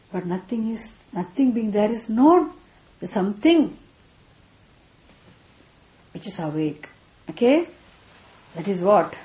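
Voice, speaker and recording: A woman's voice: on the high side, warm tone, reverberant, poor audio. Tamil-accented Indian woman teaching Vedanta. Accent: Indian English, Tamil. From Tamil Nadu, India. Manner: checks with listeners often, repeats key phrases. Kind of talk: spiritual class talk.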